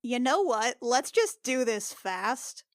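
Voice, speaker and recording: Woman with a highish voice, dry close sound, casually describing game moves, thinks out loud and swears a bit. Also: big pitch swings, no echo. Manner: halting, thinking aloud, sweary. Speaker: player in a tabletop RPG podcast. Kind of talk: casual improvised game narration.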